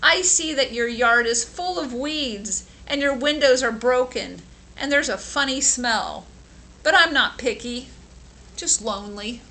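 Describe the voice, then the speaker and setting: A woman's voice: high-pitched, measured pace, bright, forceful tone, heavily acted. A woman voicing an eager, lonely male stray dog from a children's storybook, pleading and earnest. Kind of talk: children's storybook character reading.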